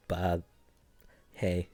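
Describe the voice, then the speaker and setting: A young man with a Finnish accent chatting casually on a live stream, soft-spoken and giggly, hesitating often. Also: low voice, no room sound. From Finland.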